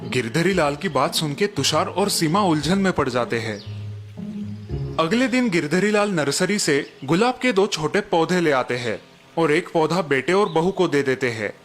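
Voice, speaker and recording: A man tells a bedtime story in a smooth, even, scripted manner. His voice sits a bit high for a man. Faint music runs underneath. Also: moderate pace. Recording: music bed behind narration.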